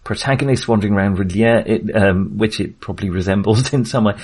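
A man co-hosting a podcast, discussing film casually in a halting, think-aloud way. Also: on the low side, darkish tone, husky. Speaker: podcast co-host.

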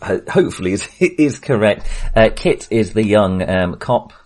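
Casting: male film podcast host, lowish voice, very varied intonation, jokey and a bit halting. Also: animated, a little mellow. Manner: wry, jokey. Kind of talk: casual film discussion.

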